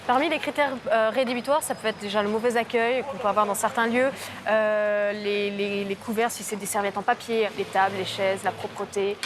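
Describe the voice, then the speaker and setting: A woman who organises food tours, speaking casually and haltingly, repeating words as she goes. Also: medium pitch, fairly even intonation, background noise. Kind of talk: casual spontaneous speech.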